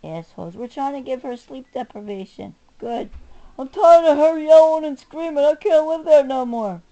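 A woman's voice: high voice, lively intonation, moderate pace, warm tone, muffled recording. A middle-aged woman in an angry, combative personal rant to camera, swearing and stressing her words. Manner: combative, profane, emphatic, swinging between muttering and raised voice. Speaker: self-recording vlogger.

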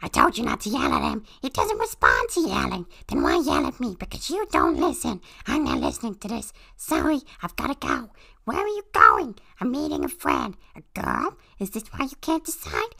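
Raspy, croaking cartoon toad voice, wildly swooping. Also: female voice, mid-range voice, pushed and bright. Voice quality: raspy, straining croaky squawk. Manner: exaggerated, cartoonish. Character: Toad, small squawky excitable cartoon mushroom creature. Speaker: performer reading a film script aloud. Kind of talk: character reading in a put-on voice, playing every part.

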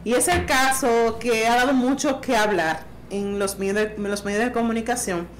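A woman in her thirties comments on a studio talk panel with a slightly high voice. She talks haltingly, repeating and restarting phrases. The studio sounds roomy, with a faint hiss behind her. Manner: hesitant, repeats words and restarts. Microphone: boom studio mic.

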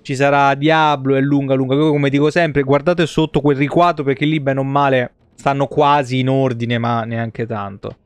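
A man's voice: mid-range voice, fairly even intonation, moderate pace, few pauses, clear, resonant tone, dry recording. A man, around his thirties, giving casual, joking live commentary on a game and swearing freely. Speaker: game streamer. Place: indoor home streaming setup.

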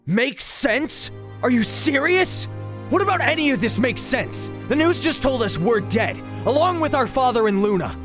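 Young prince played by a man, high, animated pitch, curt, hot-tempered, protective, audio drama. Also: normal speed, music in the background. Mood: angry disbelief. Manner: curt, impatient.

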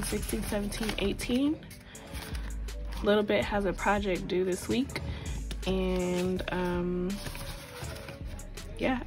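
Woman, sore throat, slow unhurried delivery, chatty cash counting, clear music behind. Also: mid-range voice. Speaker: budgeting channel host. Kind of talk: casual voice-over while counting cash. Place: tabletop at home. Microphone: overhead camera, hands only.

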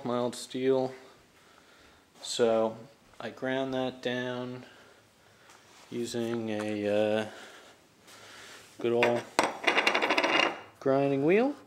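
Casual male hobbyist vlogger, mid-pitched, halting and self-deprecating, recorded in a garage with background noise. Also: a little mellow. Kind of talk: casual hands-on demonstration to camera. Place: garage workshop. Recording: clear ambient workshop noise.

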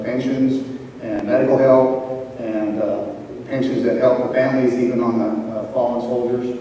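An older man from a small town giving an informal local history talk in a relaxed, halting way with many fillers. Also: medium pitch, a little hiss or hum. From Wellington, Kansas. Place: large school auditorium. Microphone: handheld mic, recorded from a far camera. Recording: echoey hall from far off.

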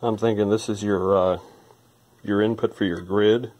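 A man's voice: on the low side, fairly even intonation, normal speed, a little mellow. A man giving casual, hands-on repair commentary as a hobbyist, thinking aloud in an informal way. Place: indoor workbench.

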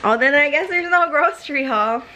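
A woman's voice: high voice, lively intonation, brisk, background noise. A young woman, a mother, chatting casually for a family vlog into a handheld camera. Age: young adult. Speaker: vlogger and mother. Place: at home, in a car and in a busy restaurant. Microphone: handheld camera at arm's length.

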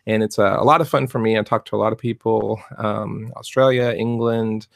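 Middle-aged man, soft-spoken coach, gravelly edges, rambling with fillers, dry close desk mic. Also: lowish voice, fairly even intonation, fairly quick, no room sound. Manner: rambling, many fillers and restarts. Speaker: fluid art business coach. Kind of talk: casual live-stream talk. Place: cluttered home art studio, indoors. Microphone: desk mic in front of webcam.

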